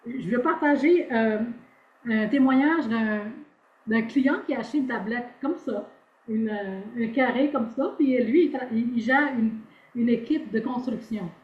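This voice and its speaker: A woman speaks haltingly as a podcast guest, restarting her sentences often. Her fairly high voice comes through a thin, phone-like video call line with an echo. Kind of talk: casual podcast interview. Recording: tinny echoey call audio.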